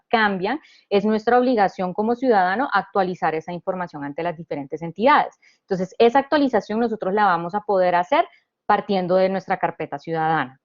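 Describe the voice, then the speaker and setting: A woman from Honduras giving an explanatory presentation as a panelist. She talks through each point step by step, with restarts and "eh" pauses. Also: mid-range voice, lo-fi sound. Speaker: panelist presenting a government digital service.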